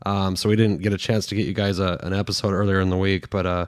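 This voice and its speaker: A man's voice: deep voice, flat delivery, fast, clear, resonant tone, dry recording. Podcast host, man, thirties, recovering from a cold, easygoing banter, dry close mic. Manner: chatty, laughs often, many fillers. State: recovering from a head cold, deep voice. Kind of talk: casual chat.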